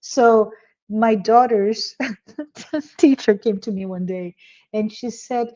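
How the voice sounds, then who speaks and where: A middle-aged woman whose first language is Portuguese hosts a podcast chat at an unhurried pace. Her voice is soft and mellow, and she talks in a reflective way, restarting her sentences often. The recording sounds a little dull and lo-fi. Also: on the high side. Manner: reflective, many restarts and filler words. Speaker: podcast host. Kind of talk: casual podcast conversation.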